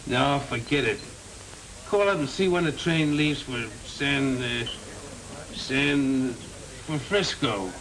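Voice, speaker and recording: An actor playing a shifty, slangy small-time crook in 1930s film style, with an American accent. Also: male voice, medium pitch, slow pace, long pauses, crowd noise, close to an everyday voice, rough recording. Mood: drunk, weary. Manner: slangy tough-guy talk. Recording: old 1930s film soundtrack, background murmur.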